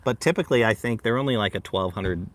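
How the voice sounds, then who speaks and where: A man's voice: on the low side, fast. A man co-hosting a casual livestream chat, rambling with lots of ums and uhs and a voice that carries. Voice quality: easily heard, carrying voice. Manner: rambling, many fillers and restarts, easy laughter. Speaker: livestream co-host.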